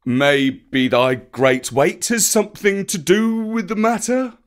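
A man's voice: high-pitched, sing-song, takes it slowly, pitched above the performer's own voice, full character voice. An actor reading a storybook and voicing an old, good-natured male bear who teaches, earnest and a little flustered.

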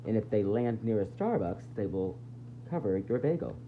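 Very animated man reading to young children. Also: fairly low pitch, normal speed, narrow, tinny audio, slight background noise. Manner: dramatic emphasis with playful asides. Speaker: teacher reading a picture book aloud.

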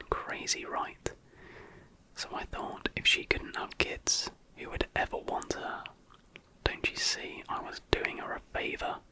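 A woman's voice: close to an everyday voice, low-quality recording. Cold, smug husband who murdered his wife, casual and self-justifying, all in a whisper, read by a woman. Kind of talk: whispered horror storytelling.

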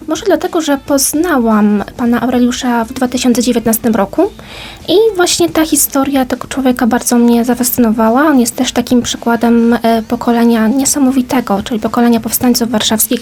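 A woman with a fairly high voice answers as an interview guest. She explains things informatively and conversationally, like an educator presenting a project. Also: fairly quick, a little mellow.

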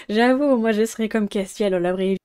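Young woman game streamer, mid-pitched, mellow voice, casual commentary mixed with dialogue read aloud. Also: moderate pace, clean tone.

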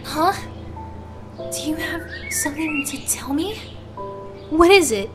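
A woman acts out a shy young woman in an ASMR roleplay. The voice is extremely high and girlish, and it moves slowly and hesitantly, stumbling bashfully over words. Faint music plays behind it. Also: animated. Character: shy, flustered young woman confessing to best friend. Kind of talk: ASMR roleplay audio drama.

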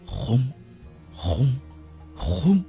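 A man's voice: medium pitch, wildly swooping pitch, very slow, gravelly, dark tone. A man narrating a fantasy audiobook in a dramatic, suspenseful, theatrical storytelling style. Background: faint background music.

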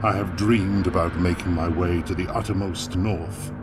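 An actor playing a grim, ambitious warrior who craves godhood and vengeance, solemn and portentous, in an audio drama. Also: male voice, sits low, fairly even intonation, over loud music, close to an everyday voice. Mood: grave, brooding. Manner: slow, solemn, portentous delivery. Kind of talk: dramatic audio fiction acting.

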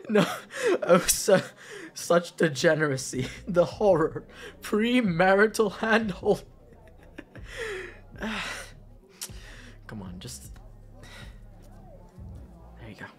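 Slow-talking, caring young best friend, acted by a man. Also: high voice, wildly swooping pitch, soft background music, full character voice. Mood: playful teasing. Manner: soft, hesitant, stammering, breathy sighs and chuckles. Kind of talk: intimate ASMR roleplay audio.

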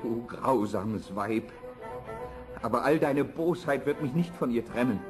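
A man's voice: mid-range voice, expressive, unhurried, music in the background, natural voice. An actor playing a defiant, anguished young prince in a fairy-tale audio drama, declaiming his words. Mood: anguished defiance.

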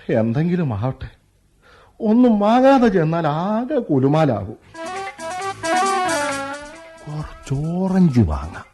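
An actor playing a fond, fussy middle-aged husband who talks to himself and mutters in a radio drama. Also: male voice, fairly high pitch, dark tone. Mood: fretting. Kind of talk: 1980s-style radio drama acting.

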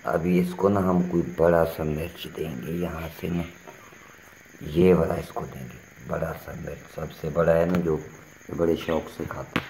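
Low-voiced guy sweet-talking his parrots for the camera, echoey phone sound, lots of yard noise. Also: level tone, unhurried. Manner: coaxing and chatty, talking to his pet birds. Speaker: animal vlogger. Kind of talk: casual vlog narration to viewers. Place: outdoor yard by bird cages. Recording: roomy phone audio with loud yard noise.

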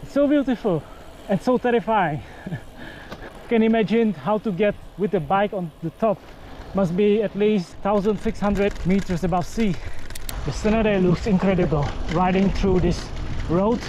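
Young man, high voice, casual vlog chat, action camera, outdoor wind noise. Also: measured pace, warm tone. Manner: chatty, plainspoken, non-native English phrasing. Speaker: cycling vlogger. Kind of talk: casual travel vlog with voice-over narration. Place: outdoors on a bike path and inside a supermarket. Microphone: action camera on the handlebars and handheld.

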